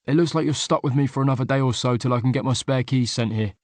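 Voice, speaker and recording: A man voicing a tense, prickly young man who talks in a clipped, impatient way, raised above his narrating pitch and a bit louder. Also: fast talker, dry recording, lightly acted.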